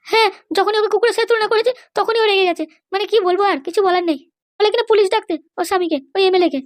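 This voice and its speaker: A very high, shrill voice from a woman plays an aggrieved cartoon mother-in-law. She scolds and complains at a breakneck clip, full of scheming grievance. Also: steady tone, racing. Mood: indignant. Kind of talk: exaggerated cartoon dialogue.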